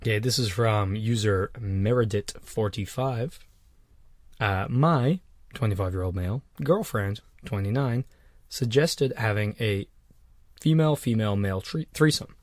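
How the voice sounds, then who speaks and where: A man's voice: lowish voice, a little slow, no room sound, studio quality. A man co-hosting a podcast, chatting loosely with restarts and swearing. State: on cold medication. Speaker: podcast co-host. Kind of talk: casual chat.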